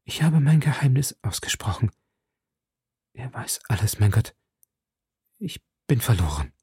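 A man voicing a desperate, scheming noblewoman prisoner, pleading in raised, husky tones and hushed whispers. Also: lively intonation, measured pace, no echo, clean studio sound, heavily acted. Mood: whispered despair. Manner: imploring, breathy whispers and high raised pleas.

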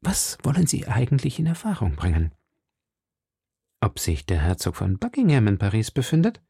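A man's voice: lowish voice, wildly swooping pitch, moderate pace, vocal fry, dark tone, slightly rough, no echo, clean studio sound, exaggerated voice. A man voicing a bold, hot-headed young male swordsman, impulsive and commanding. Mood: musing.

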